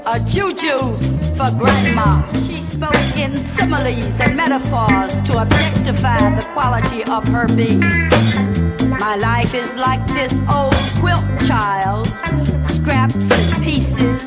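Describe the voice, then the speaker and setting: High, animated woman reciting poetry over music. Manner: rhythmic, deliberate, expressive. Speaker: poet. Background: loud music.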